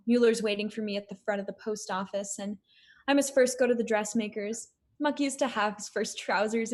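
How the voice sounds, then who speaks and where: A woman's voice: fairly high pitch, close to an everyday voice. A woman playing a kindly, busy married sister, chatty and solicitous, in a dramatic reading of an old play.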